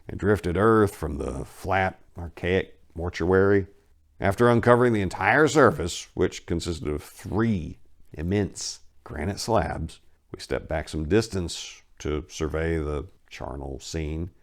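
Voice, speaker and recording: A man giving a deliberate, theatrical dramatic reading of a horror story as narrator, swelling on some words and dropping hushed on others. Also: sits low. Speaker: narrator, amateur story reader.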